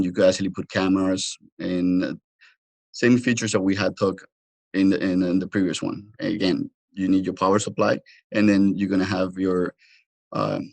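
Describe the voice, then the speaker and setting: A man presenting an informal technical webinar as a product trainer, explaining haltingly with frequent restarts and repetitions. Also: low voice, gravelly, a little mellow, rough recording.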